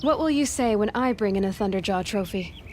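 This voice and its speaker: An actress playing an earnest, blunt young outcast huntress in a video game, with a direct, questioning manner. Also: female voice, mid-range voice, a little fast, a little mellow, a little hiss or hum, lightly acted. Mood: blunt, probing. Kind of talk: naturalistic video game acting. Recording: music behind.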